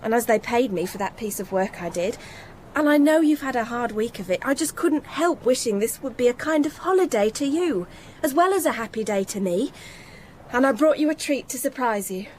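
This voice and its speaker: An actress playing a loving, hopeful young working-class woman in a radio drama, earnest and gently pleading. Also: fairly high pitch, expressive, fairly quick, slight background noise, natural voice. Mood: hopeful, tender. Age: young adult.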